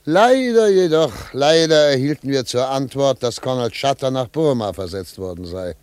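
A man's voice: mid-range voice, animated, normal speed, a little mellow, smooth, dry recording, close to an everyday voice. Man acting postwar investigator, wry, dramatic storyteller, radio play, dry close recording. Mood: wry, rueful. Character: wry male postwar investigator, excitable, recounting his case.

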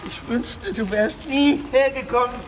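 A man's voice: very high voice, measured pace, heavy background noise. An older man playing an anxious, stubborn, reclusive grandfather in a radio drama, reluctant and grumbling. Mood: fearful, resentful. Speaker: actor in a radio play. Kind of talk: naturalistic radio drama acting. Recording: echoey hall-like reverberant room.

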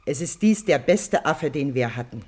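Talkative pet seller, highish voice, very animated, colloquial, repetitive, radio drama. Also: male voice, normal speed, breathy edge. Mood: emphatic. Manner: colloquial, repetitive, chatty sales talk. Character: chatty, colloquial pet shop seller praising a tame monkey. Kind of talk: German radio play acting.

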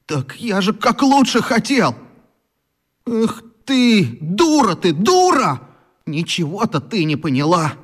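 An actor playing a scrappy, tongue-tied schoolboy bully in a children's radio play, slow and indignant in his delivery. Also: high-pitched, very animated, husky, exaggerated voice. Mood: hurt, indignant. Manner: slow, drawn-out, blustering delivery.

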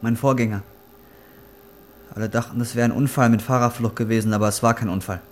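A man acting a young guy down on his luck in a crime drama, blunt and agitated. He sounds loyal and defensive, with a medium-pitched voice and some noise around him. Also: fairly even intonation, fast, lightly acted. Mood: urgent, insistent. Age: young adult. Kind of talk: acted dialogue in a crime drama. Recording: noisy ambient background.

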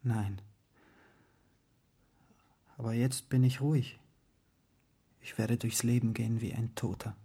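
A man's voice: mid-range voice, steady tone, takes it slowly, long pauses, slightly rough, full character voice. An actor playing an intense, dreamy young man confessing himself with impassioned drama in a radio play. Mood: brooding, subdued. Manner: impassioned, dramatic, swinging between hushed and ecstatic. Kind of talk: German radio drama acting.

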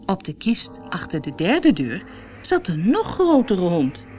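Slow, animated woman storyteller. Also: fairly low pitch, faint music behind. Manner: expressive storytelling for children. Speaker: audiobook storyteller. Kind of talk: scripted fairy-tale narration.

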